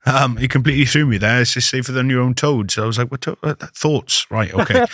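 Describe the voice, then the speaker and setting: A man's voice: medium pitch, lively intonation, normal speed, a bit bright, no room sound, clean studio sound. A British man hosting a podcast over a video call, chatty, calling his guest "mate" and repeating words as he talks. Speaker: podcast host. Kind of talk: casual interview chat.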